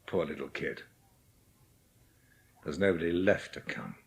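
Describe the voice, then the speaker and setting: A man's voice: sits low, a little slow. An actor playing a startled, pitying man in a dramatised audiobook, speaking in exclamations. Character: startled, pitying man finding an abandoned child.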